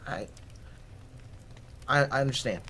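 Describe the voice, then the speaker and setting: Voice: medium pitch, slow, pushed and bright, a little hiss or hum. An actor playing a skeptical, jumpy, sarcastic runaway teenager in an audio drama, with an incredulous, questioning delivery. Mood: uneasy. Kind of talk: audio drama acting, conversational.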